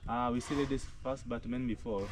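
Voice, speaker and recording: A man's voice: mid-range voice, fairly even intonation, brisk. A young Kenyan man hosting a casual village vlog, chatty and upbeat, repeating his phrases. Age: young adult. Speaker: vlog host. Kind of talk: casual outdoor vlog chat. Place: village compound outdoors. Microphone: action camera, no mic. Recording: noisy outdoor camera audio.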